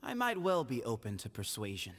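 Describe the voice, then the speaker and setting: An actor playing a pragmatic young heir in a video game, blunt, measured and self-assured. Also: male voice, mid-range voice, wildly swooping pitch, fairly bright tone. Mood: cool, assured. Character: cool, pragmatic young male heir to a temple leader. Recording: game music behind.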